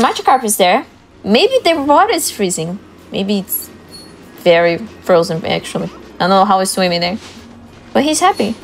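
A woman whose first language is Italian, giving playful, amused game commentary in slightly broken English. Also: a little high. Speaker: speedrun commentator. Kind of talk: casual commentary.

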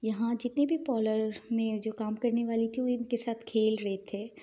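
A young woman narrating her day in a chatty, unhurried voice-over, with small fillers and pious asides. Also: fairly high pitch, fairly even intonation, warm tone. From Pakistan. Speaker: vlogger.

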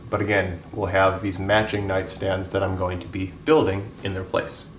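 A man in his thirties showing round his bedroom in a relaxed, chatty way, with frequent ums and restarts. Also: deep voice, moderate pace, far from the mic, a little hiss or hum. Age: 30s. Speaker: woodworking vlogger. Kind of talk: casual walkthrough. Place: bedroom with wood floor. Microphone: camera at a distance. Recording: roomy, from off-mic.

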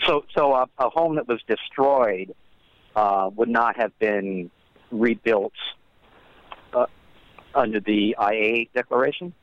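Smooth-voiced man on a muffled call. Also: medium pitch, takes it slowly, long pauses, dry recording. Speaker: newspaper reporter. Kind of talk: asking questions on a press conference call. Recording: dry, muffled phone call.

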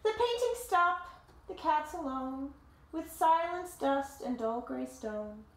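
A woman in her thirties reading a picture book aloud to children like a teacher, with dramatic, deliberate phrasing and simple explanations, recorded in a living room. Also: high voice, lively intonation. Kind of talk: expressive storybook read-aloud with explanatory asides. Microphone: camera or phone a short distance away. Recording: roomy home echo.

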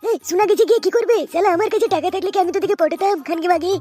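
Swaggering cartoon lad voiced by a man, very high pitch, foul-mouthed, music underneath. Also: racing, warm tone. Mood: brash. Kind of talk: cartoon comedy dubbing.

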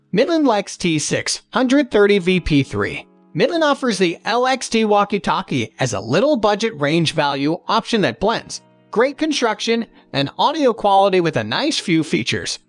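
A man reading a scripted countdown of product reviews as a narrator. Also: high-pitched.

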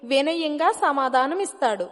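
A woman's voice: high-pitched, animated, a little slow, a bit bright. A woman giving scripted narration of a moral story as its narrator. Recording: long hall-like reverb, music behind.

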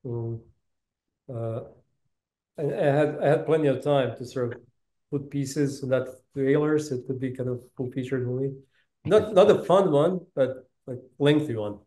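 A man in his fifties from Bosnia speaks with a Balkan accent and a soft, dark tone. He talks casually as a guest, often doubling back on his words, over a video call with noticeable room echo. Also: medium pitch, normal speed. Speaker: interview guest, tech business executive. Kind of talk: casual interview talk, storytelling. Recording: roomy, reverberant video call audio.

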